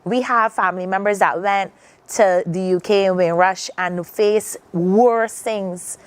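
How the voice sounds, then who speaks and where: A woman's voice: mid-range voice, a little slow, clear, resonant tone. A woman from Barbados with a Barbadian accent, talking earnestly as a guest on a morning TV interview, often restarting her sentences. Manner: earnest, reflective, many restarts.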